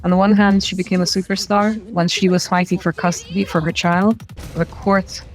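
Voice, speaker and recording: A woman giving reflective film essay commentary in halting, measured phrases. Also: on the low side, flat delivery. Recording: music under the voice. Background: music.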